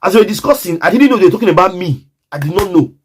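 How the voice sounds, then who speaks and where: Man, Nigerian accent, fairly high voice, emphatic, repetitive, talks straight to the viewer, casual storytime. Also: expressive, rapid-fire, a little hiss or hum. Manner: emphatic and repetitive, often addresses the listener directly. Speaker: vlogger telling a personal story.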